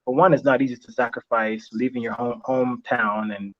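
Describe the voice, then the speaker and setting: A young man talks through his answers in a relaxed interview, pausing and restarting as he gathers his thoughts. Italian is his first language. The audio is a little muffled and lo-fi. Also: medium pitch. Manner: reflective, halting, many restarts and fillers. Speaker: interview guest. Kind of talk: casual interview chat. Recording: slightly dull lo-fi sound.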